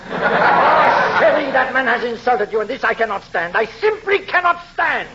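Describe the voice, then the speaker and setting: High-voiced, theatrical count. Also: male voice, lively intonation, normal speed. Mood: mock-brave. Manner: theatrical, florid, mock-gallant. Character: cowardly, flattering foreign count and suitor. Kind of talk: 1940s radio comedy acting. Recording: dull old radio recording, audience, noisy.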